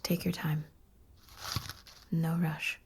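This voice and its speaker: A woman's voice: low-pitched, little pitch movement, very slow, a little hiss or hum, exaggerated voice. A woman playing an earnest, coaxing dream therapist in a psychological horror audio drama. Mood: reassuring. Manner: coaxing, guiding. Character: earnest, reassuring female dream therapist, visionary scientist.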